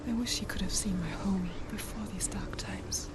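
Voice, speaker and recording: A woman playing a haunted young warrior in a video game, fearful yet defiant, in halting breathy speech. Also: medium pitch, fairly even intonation, whispered, natural voice. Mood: wistful. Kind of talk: intimate dramatic video game acting.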